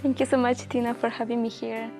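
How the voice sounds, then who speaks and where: A woman's voice: a little high, flat delivery, fast, mellow, soft background music. A woman in her twenties from Ecuador, speaking as a guest sharing her personal story in an earnest, reflective way with many fillers. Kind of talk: personal testimony in a casual chat.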